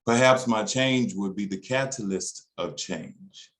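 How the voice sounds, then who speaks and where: A man reciting spoken word poetry in a deliberate, dramatic way with emphatic pauses. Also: lowish voice, a bit bright. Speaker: poet.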